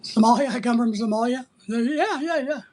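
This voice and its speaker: Livestream host from the US, husky voice, rambling casual delivery, blunt and playful with commenters. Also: medium pitch, moderate pace, darkish tone. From United States. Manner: blunt, teasing, sometimes breaking into playful exclamations. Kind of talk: casual rambling live chat, answering viewer comments.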